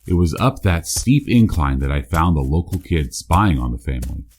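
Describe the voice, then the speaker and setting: A man playing a true-crime podcast host, delivering scripted narration in a measured, deliberate way. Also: rumbling low, big pitch swings, darkish tone, slight background noise. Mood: grave, measured. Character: male true-crime podcast host, solemn small-town investigator.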